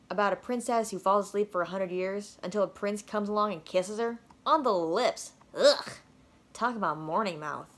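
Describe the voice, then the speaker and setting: Casting: female storybook reader, playful and expressive, widely varied intonation. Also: medium pitch. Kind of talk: expressive children's read-aloud narration.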